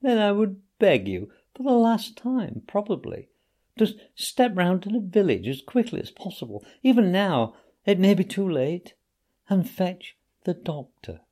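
A man's voice: high-pitched, big pitch swings, measured pace, mellow, pitched above the performer's own voice. A man doing a feeble, self-pitying toad. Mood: feebly pleading. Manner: languid, feeble, martyred. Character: vain self-pitying male toad feigning illness.

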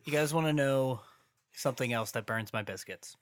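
A man's voice: medium pitch, normal speed, clear, resonant tone, no room sound. Sarcastic young man ranting, dry room. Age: young adult. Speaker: podcast co-host. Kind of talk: casual podcast rant.

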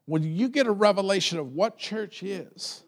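A male pastor preaching a conversational sermon in a loud voice, often repeating words as he builds a point. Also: sits high, expressive, moderate pace.

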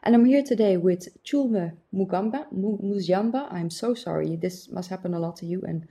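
A woman with a mid-pitched, mellow voice hosts a campus interview show. She is polite and a little apologetic, asks open questions, and keeps the talk going with short murmurs of agreement. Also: moderate pace. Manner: polite, apologetic, encouraging listener. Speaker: host, lecturer.

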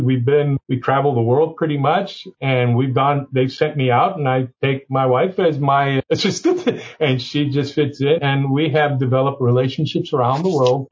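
A Cuban-born man, a company's legal chief, talking in a relaxed, rambling way with frequent fillers and self-deprecating asides. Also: mid-range voice, breathy edge, dull audio. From Cuba. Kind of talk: conversational interview.

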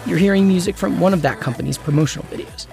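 A man's voice: sits high, quick pace, gravelly, over music. A man hosting and narrating a documentary-style story in a conversational, personal scripted way. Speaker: host and narrator.

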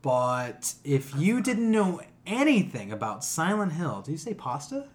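A man's voice: a little high, very animated, unhurried. A man hosting a podcast in casual, opinionated chat, blunt, profane and emphatic in how he talks. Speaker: podcast host.

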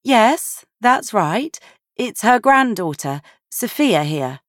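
Audiobook role: kind granddaughter, acted by a female narrator, lighter high register, courteous, composed, earnest. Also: very animated, slow, dry recording, studio quality. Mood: polite concern. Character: kind, calm, expressive woman, a caring granddaughter.

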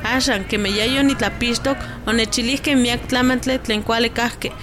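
A woman's voice: a little high, fairly even intonation, normal speed, natural voice. A woman playing a young village mother explaining things in a radio drama. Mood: explanatory. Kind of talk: radio drama acting in Nahuatl. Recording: music bed behind the voice.

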